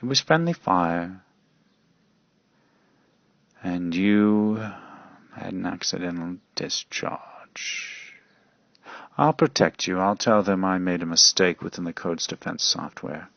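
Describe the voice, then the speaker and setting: A man voicing a protective but scheming worm boy who consoles in a soothing, drawn-out way. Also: deep voice, vocal fry, a bit bright, no echo, lo-fi sound, exaggerated voice.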